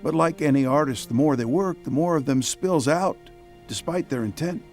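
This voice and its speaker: A man narrating a radio drama as a reflective storyteller, deliberate and literary in his phrasing. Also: fairly high pitch, darkish tone, close to an everyday voice. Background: music.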